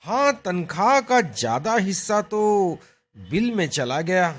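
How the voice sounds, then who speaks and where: A man acting as a mild Indian husband in a kids' cartoon story. His voice is husky and fairly high for a man. He talks slowly, with very expressive swings in pitch. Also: heavily acted. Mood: resigned. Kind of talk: animated moral story dialogue in Hindi.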